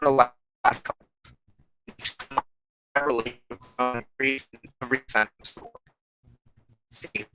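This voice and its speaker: A man hosting a religious broadcast, giving a solemn, measured sermon of personal testimony and teaching with emphatic phrasing and deliberate pauses. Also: mid-range voice, creaky, sharp tone, low-quality recording.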